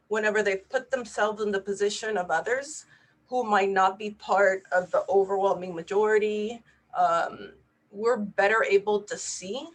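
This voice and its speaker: A woman's voice: medium pitch, poor audio. Woman from Puerto Rico, Spanish first language, physician, earnest webinar talk, conversational, many fillers. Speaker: physician panelist. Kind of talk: webinar presentation.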